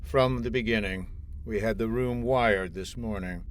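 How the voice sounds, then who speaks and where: A man's voice: on the low side, unhurried, natural voice. Cold commanding army colonel played by a man. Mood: cold, menacing. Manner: formal, authoritative, precise. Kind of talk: old-time radio drama acting.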